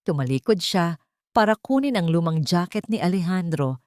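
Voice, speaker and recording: A woman's voice: on the low side, lively intonation, moderate pace, darkish tone, dry recording, studio quality. A woman reading a scripted dramatic story as an audiobook narrator.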